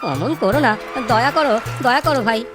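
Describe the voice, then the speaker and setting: A woman voicing a humble blind outcast in a children's folktale cartoon, halting and pleading, repeating words. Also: fairly high pitch, lively intonation, lightly acted. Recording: music under the voice.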